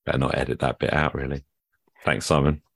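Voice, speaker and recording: A man's voice: extremely low pitch, level tone, brisk, husky, dry recording, studio quality. A man hosting a podcast, asking short questions and closing the show with brief, encouraging words. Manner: brief, encouraging listener backchannel. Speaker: podcast host. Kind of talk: casual interview and show outro.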